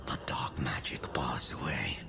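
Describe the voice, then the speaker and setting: A man voicing the solemn, weakened spirit of an ancient conqueror king in a fantasy game, breathy, grave and stately. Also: rumbling low, over music. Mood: commanding, weary. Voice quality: breathy, whispery. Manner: grave, dramatic, slow and stately.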